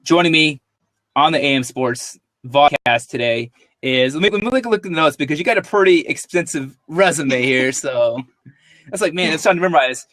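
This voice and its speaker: A man's voice: on the high side, normal speed, a bit bright, no room sound. A man hosting a sports podcast, upbeat and loose, joking with his guest. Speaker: podcast host. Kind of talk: casual podcast chat.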